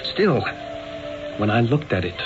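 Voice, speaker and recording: An actor playing an obsessed, rapturous Renaissance master painter in a radio drama, with a theatrical, musing delivery on a muffled old radio recording. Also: male voice, medium pitch, sing-song, unhurried, music in the background. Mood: musing, enthralled. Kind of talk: radio drama acting and reflective first-person narration.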